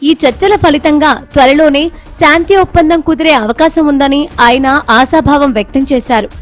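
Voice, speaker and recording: A woman's voice: high voice, normal speed. A woman. She reads as a voice-over reporter, with music playing underneath. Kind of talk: scripted news narration. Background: background music bed.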